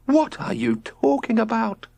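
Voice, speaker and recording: A man voicing a middle-aged father in an audiobook, pompous and theatrical, declaiming rapturously in drawn-out phrases. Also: extremely high pitch, wildly swooping pitch, darkish tone, no room sound, voice pushed up, full character voice. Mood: appalled, grandiose. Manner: declamatory, drawn-out, breathy exclamations.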